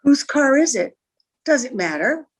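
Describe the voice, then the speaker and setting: Woman acting a high-voiced, wistful married woman. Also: very animated, measured pace. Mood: anxious, puzzled. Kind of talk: old-time radio drama acting.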